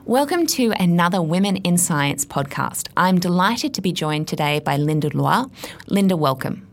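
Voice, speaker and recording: A woman's voice: on the low side, lively intonation, normal speed, faint music behind. A woman hosting an interview podcast, introducing the show and asking questions with brief, friendly interjections.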